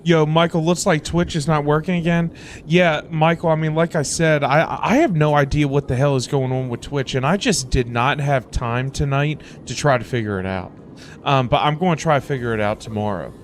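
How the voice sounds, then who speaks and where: A man livestreaming a game, reacting and chatting casually in an animated, exclamatory way, often repeating words. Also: fairly high pitch, normal speed, slight background noise.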